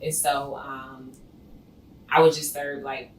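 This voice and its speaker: A woman's voice: rumbling low, little pitch movement, slow, slight background noise. A woman in her thirties from Ohio, an entrepreneur guest talking casually in an interview. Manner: conversational, says "you know what I'm saying". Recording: roomy, slightly distant mic.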